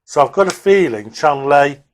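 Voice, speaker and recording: A man's voice: a little high, expressive, normal speed, a little hiss or hum. A man presenting a hobby video, giving casual, unscripted workbench commentary in a hesitant way, as if thinking aloud.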